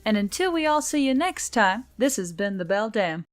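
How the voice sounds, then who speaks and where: A woman's voice: mid-range voice, very animated, normal speed, no echo. A woman reading scripted audiobook-style fiction as a story narrator, with music behind her. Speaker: story narrator, channel reader. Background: background music.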